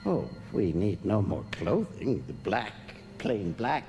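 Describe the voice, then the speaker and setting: An actor playing a doddering, amiable alien ruler of the moon in a radio drama, hesitant and fumbling for words. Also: male voice, fairly low pitch, sing-song, slow, a little mellow, soft background music, full character voice. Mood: puzzled, vague. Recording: echoey hall with noisy crowd ambience.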